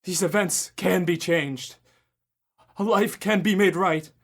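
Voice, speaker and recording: A pleading old miser. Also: male voice, high voice, takes it slowly, full character voice. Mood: anguished. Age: young adult. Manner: pleading, anguished. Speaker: Ebenezer Scrooge. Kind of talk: student radio drama.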